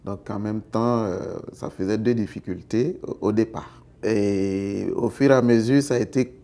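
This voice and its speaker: Man, street interview, gravelly dark voice, measured with many pauses. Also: on the low side. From Côte d'Ivoire. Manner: thoughtful, pausing with fillers.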